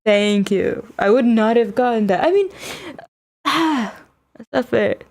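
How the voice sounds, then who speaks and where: A woman's voice: on the high side, animated, slow pace, gravelly, a little mellow. A woman giving casual live commentary as a game streamer, exasperated and prone to mild swearing.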